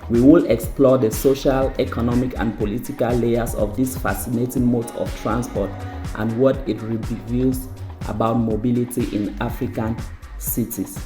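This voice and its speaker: A man's voice: mid-range voice, warm tone. A man from Cameroon hosting a transport podcast, giving a formal scripted introduction and asking interview questions. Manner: formal, measured delivery. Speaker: podcast host. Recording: echoey room with music bed.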